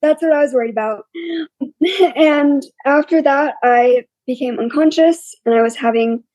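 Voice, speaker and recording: A young woman with a scratchy, quiet voice, openly telling her story as an interview guest in a plain, matter-of-fact way. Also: sits high, normal speed, no echo. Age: young adult. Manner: open and matter-of-fact, listing hardships plainly. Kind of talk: candid personal storytelling.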